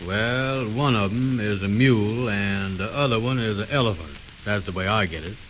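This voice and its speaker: Man playing a low-voiced pompous know-it-all, old radio comedy. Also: normal speed, fairly bright tone, natural voice. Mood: self-assured. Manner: pompous, malapropisms, folksy ungrammatical talk. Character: blustering, know-it-all, muddle-headed man, Andy. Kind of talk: 1920s radio comedy acting. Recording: dull, hissy old radio recording.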